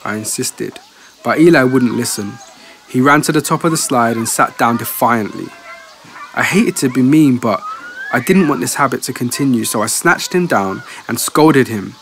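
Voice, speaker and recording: A man's voice: mid-range voice, expressive, normal speed, fairly bright tone, slight background noise. A man narrating a scripted first-person horror story. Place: home studio. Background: guitar music.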